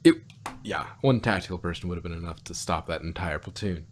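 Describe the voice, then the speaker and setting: A man co-hosting a review podcast in casual, unscripted chat, rambling and often rephrasing himself with animated asides. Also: low voice, fairly quick, a little hiss or hum. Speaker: podcast co-host reviewing audio dramas.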